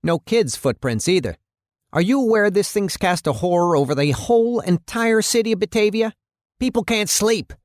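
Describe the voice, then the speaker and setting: A male narrator plays an angry city mayor in an audiobook drama. He blusters and hectors, his voice pushed up high and insistent. Every phrase lands with indignant emphasis, in a close, dry recording. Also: animated, normal speed, dry recording.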